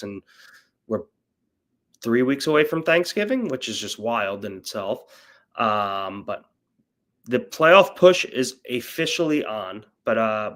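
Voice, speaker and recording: A man's voice: on the low side, fairly bright tone. A young adult man hosting a casual sports betting chat, a little nasal from a cold, upbeat and full of fillers and restarts. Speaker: host.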